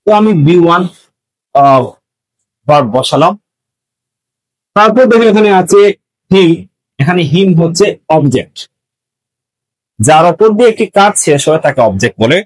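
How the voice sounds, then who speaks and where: A man's voice: a little high, expressive, moderate pace, pauses often, rough recording. A man teaching students in an explanatory, step-by-step manner, repeating key points as he goes. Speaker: English grammar teacher. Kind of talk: explanatory lesson addressed to students.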